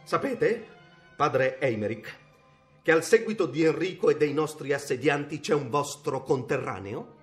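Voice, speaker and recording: Volatile medieval king, male, menacing, theatrical, radio drama, very animated, faint music. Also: fairly high pitch, unhurried. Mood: imperious.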